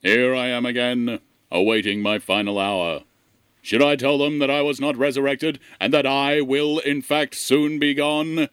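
A man's voice: medium pitch, fairly even intonation, unhurried, a bit bright, slight background noise, close to an everyday voice. A man voicing a doomed, wistful alien intelligence in solemn, portentous soliloquy for a comedic audio drama. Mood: solemn, resigned.

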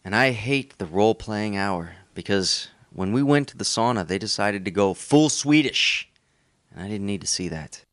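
A man delivers a short promo testimonial. His voice is on the low side and recorded dry, with no room around it. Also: animated, moderate pace, a bit bright, no room sound.

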